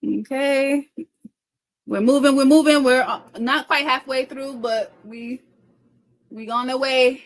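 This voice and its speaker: A woman hosting a casual livestream, reading out comments and chatting in a quick, stumbling way, often repeating her phrases. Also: sits high, fairly even intonation, low-quality recording. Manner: talks fast, stumbling and repeating herself. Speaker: livestream host and content creator. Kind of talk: casual live chat reading viewer comments aloud.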